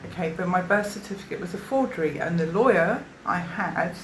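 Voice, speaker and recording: A woman's voice: on the low side, animated, brisk, slight background noise. A woman in her fifties from South Africa, giving personal testimony in a hesitant, rambling way, full of fillers and restarts. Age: 50s. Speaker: interviewee giving a witness statement. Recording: roomy echo.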